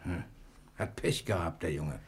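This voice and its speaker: An actor playing an easygoing, wily adventurer hiding out in jail, laid-back, chummy and wry, in 1960s German radio crime drama style. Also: male voice, low voice, unhurried, a little hiss or hum. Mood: wry, curious.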